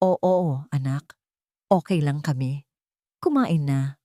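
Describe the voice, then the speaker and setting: A woman with a Filipino accent voicing a soft-spoken, long-suffering older mother in a story. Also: low voice, slow, no echo, clean studio sound. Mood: hiding hurt. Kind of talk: storybook character voice.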